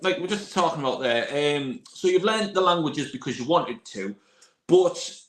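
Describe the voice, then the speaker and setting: Casual male host, slightly high pitch, rambling questions; roomy recording. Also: expressive, moderate pace. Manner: loose, filler-heavy questions with "like" and laughs. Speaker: interviewer, show host. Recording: echoey room.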